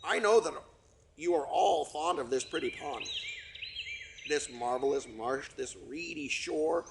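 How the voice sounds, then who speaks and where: A man's voice: on the high side, measured pace, vocal fry, noisy background. A man voicing a pompous, long-winded father trumpeter swan who makes grand speeches to his children.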